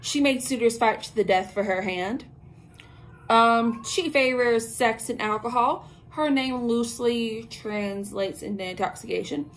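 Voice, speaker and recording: Chatty young woman with a slightly high voice. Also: unhurried, a little hiss or hum, rough recording. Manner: chatty and informal, lots of "um" and slang asides. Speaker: host of a witchcraft talk channel. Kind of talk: casual spoken lesson to camera. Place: small bedroom with tapestries. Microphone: camera at arm's length.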